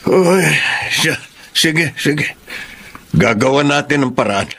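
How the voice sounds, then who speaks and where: Gravelly, hardworking Filipino father. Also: fairly high pitch, lively intonation, slow pace. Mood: hopeful. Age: middle-aged. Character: hardworking, caring father, a fisherman and farm hand. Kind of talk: Filipino radio drama acting. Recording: faint background noise.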